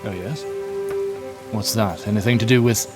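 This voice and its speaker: An actor playing a sly, amused schemer with an insinuating manner in an audio drama. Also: male voice, lowish voice, fairly even intonation, moderate pace, music in the background, close to an everyday voice. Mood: sly amusement.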